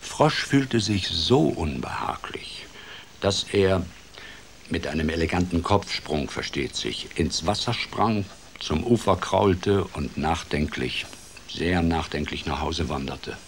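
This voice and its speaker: An actor playing a playful storyteller who tells a children's fairy tale in an expressive, theatrical way for a radio drama. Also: male voice, low voice, slow pace, vocal fry, a little hiss or hum, exaggerated voice. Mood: hushed, pensive.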